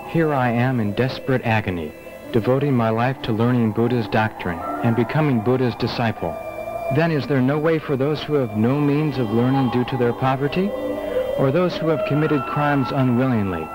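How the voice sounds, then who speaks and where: Animated-film young monk voiced by a man: medium-pitched, solemn, humble, gentle, loud music underneath. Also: lightly acted, poor audio. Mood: anguished, earnest. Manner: solemn, measured, formal. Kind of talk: dubbed animated film acting.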